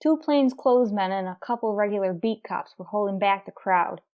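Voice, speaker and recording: Woman acting a terse, deadpan female police detective. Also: medium pitch, a little fast, muffled recording, lightly acted. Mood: matter-of-fact. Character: determined, businesslike female police detective sergeant narrating her case. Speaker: narrator and lead actor. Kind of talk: 1950s police radio drama narration, clipped and matter-of-fact.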